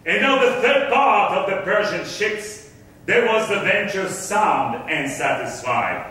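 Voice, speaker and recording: An actor playing a scheming, self-satisfied rich merchant in a staged verse drama, declaiming theatrically with big operatic projection and savoring each phrase. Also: male voice, sits high, pushed and bright, exaggerated voice. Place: stage of an auditorium. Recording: echoey concert hall from far off.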